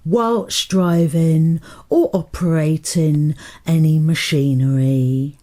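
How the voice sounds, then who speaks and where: A woman hypnotherapist guiding a meditation in a soothing, deliberate manner, pausing between short phrases. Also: deep voice, warm tone. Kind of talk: guided meditation hypnotherapy narration.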